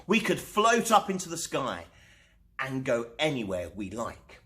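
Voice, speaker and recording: Man playing a wistful, expressive storybook bus driver. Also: mid-range voice, unhurried. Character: wistful, good-hearted male bus driver craving adventure.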